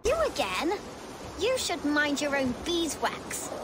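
A scrappy little street girl in a game, brash and taunting. Her voice is very high and unhurried, with clear ambient noise behind it. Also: very animated, full character voice. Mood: defiant. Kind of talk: game cutscene acting. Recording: voice over noisy game ambience.

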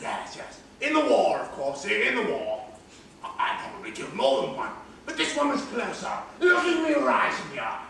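A man's voice: sits high, huge pitch swings, normal speed, gravelly, pushed and bright, heavily acted. A man acting a formal, haunted old war veteran in a stage play, stammering, earnest and stiffly polite. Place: large theatre stage, recorded from far off. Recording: echoey distant theatre recording with hiss.

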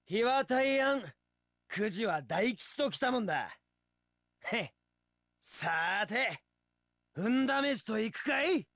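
A man's voice: extremely high pitch, lively intonation, slow, long pauses, a bit bright, no echo, studio quality, heavily acted. An actor playing a carefree young wandering swordsman in an action game, breezy, theatrical and swaggering, a playful romantic brawler. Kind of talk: Japanese action game voice acting.

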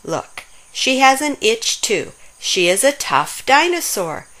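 A woman's voice: on the high side, big pitch swings, slow pace, a bit bright, full character voice. A woman voicing a small, bossy, earnest dinosaur in a children's storybook, emphatic and commanding. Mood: earnest, determined. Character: small bossy bespectacled dinosaur, earnest about being tough.

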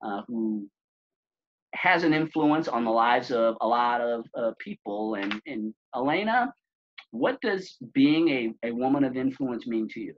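A middle-aged man hosting a podcast interview over a video call, with a measured, polished delivery. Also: mid-range voice, animated, slight background noise. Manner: measured, polished, some fillers. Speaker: podcast host. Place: office room with plain wall. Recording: dull lo-fi call audio.

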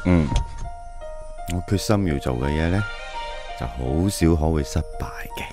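An actor playing a calm, resolute man near forty in a radio drama. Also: a bass voice, a little slow, over music, heavily acted.

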